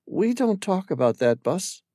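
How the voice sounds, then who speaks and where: A man's voice: high-pitched, very animated, dark tone, no echo, studio quality, voice pushed up, heavily acted. A man reading aloud, voicing a wary, determined woman stranded abroad who guards her secrets. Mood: guarded. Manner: wary, quick to shut down.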